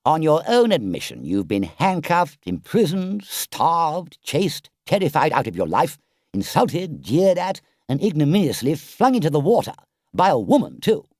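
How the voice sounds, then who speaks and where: A man's voice: medium pitch, huge pitch swings, normal speed, a little mellow, no room sound, clean studio sound, pitched above the performer's own voice. A man voicing a sensible, loyal water rat from a storybook, with a firm, emphatic, scolding manner. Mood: exasperated, reproachful.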